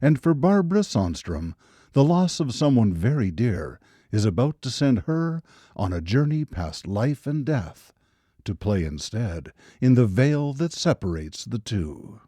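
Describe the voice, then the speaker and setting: A man's voice: medium pitch, big pitch swings, a little slow, warm tone, no room sound. A man playing the ominous narrator of an eerie radio anthology, in dramatic scripted narration. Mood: ominous, measured. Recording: live radio studio broadcast with music. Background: music.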